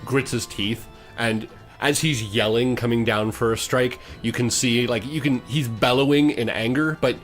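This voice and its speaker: A man acts as game master for a tabletop fantasy game, describing the action and calling out results at a middling pitch. Music plays behind his voice. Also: steady tone, normal speed. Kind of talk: narrating a tabletop role-playing game.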